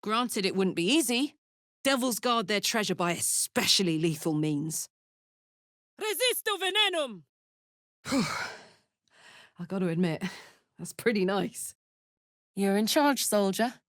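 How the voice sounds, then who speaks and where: A woman's voice: medium pitch, very animated, measured pace, pauses often, a bit bright, dry recording, studio quality. A woman playing a brash, big-hearted female warrior in a video game, speaking in an earnest, blunt and slangy way. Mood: playful, cheeky.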